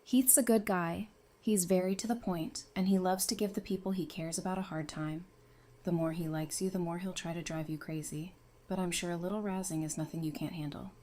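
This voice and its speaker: A woman's voice: on the low side, fairly even intonation, a little fast, mellow, lightly acted. A woman playing a friendly, knowledgeable member of a survivor community in an audio drama, speaking in an easygoing, explanatory way. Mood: reassuring, easygoing.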